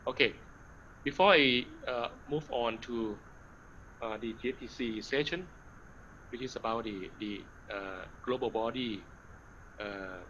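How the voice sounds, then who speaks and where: A man giving an online lecture, pausing often with fillers. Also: lowish voice, fairly bright tone, dull audio, slight background noise. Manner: hesitant, many fillers, slides in Thai.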